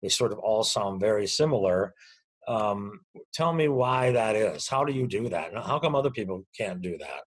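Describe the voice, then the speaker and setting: A man's voice: on the low side, expressive, normal speed, breathy edge, low-quality recording. A man conducting a conversational interview, chatting casually as he puts questions and comments to his guest.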